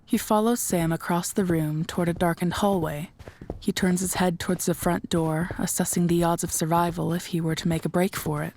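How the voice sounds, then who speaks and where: A woman's voice: fairly low pitch, steady tone, brisk, a little mellow, rough recording. A woman reading scripted third-person narration for an audio drama.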